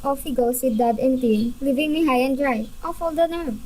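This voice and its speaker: A woman's voice: sits high, moderate pace, mellow, slight background noise, natural voice, low-quality recording. A woman playing a pert, possessive, easily indignant schoolgirl in a radio play.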